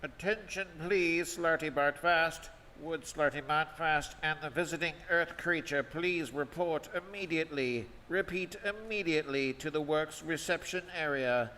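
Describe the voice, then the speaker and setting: A man plays an officious announcer in a live radio play. His voice is forceful and fairly high, with clipped impatience. The hall is reverberant and noisy. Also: a little slow, hardly any pauses. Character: officious, impatient male public-address announcer.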